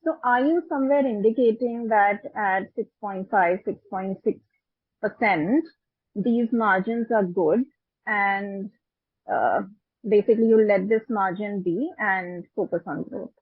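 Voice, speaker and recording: Husky woman analyst on a call. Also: medium pitch, a little slow, no echo. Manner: direct, probing. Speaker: analyst asking questions on a call. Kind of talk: questioning, partly quoting a document. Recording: dull, lo-fi phone conference audio.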